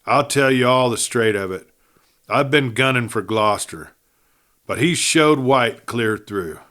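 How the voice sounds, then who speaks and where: A man's voice: lively intonation, unhurried, a bit bright. Male narrator as dying outlaw, Western storybook, gasping, emphatic, rustic drawl, desperate, sneering. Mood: urgent pleading. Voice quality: low, breathy. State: gasping, near death. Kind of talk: audiobook character voice.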